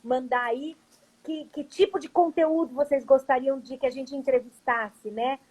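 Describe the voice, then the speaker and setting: Brazilian woman being interviewed, high voice, chatty theatre director type. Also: fairly even intonation, normal speed, a little mellow, husky, rough recording. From Brazil, Curitiba. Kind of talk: casual interview chat. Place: video call.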